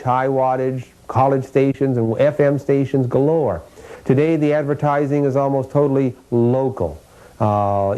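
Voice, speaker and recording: Mellow American man explaining haltingly. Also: mid-range voice, poor audio. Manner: halting, searching for words, explanatory. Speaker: radio historian, interviewee. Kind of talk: explanatory interview commentary.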